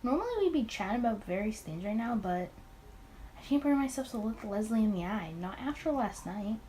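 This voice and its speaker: Tired, awkward detective narrator for a visual novel , read by a woman, animated intonation. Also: a little high, a little fast, a little hiss or hum, natural voice. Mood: weary, awkward.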